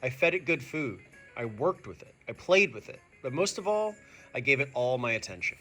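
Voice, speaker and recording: A man who is a teacher reading a picture book aloud to young students, expressive and speaking to them directly. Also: medium pitch, normal speed, faint music behind. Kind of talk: animated children's read-aloud.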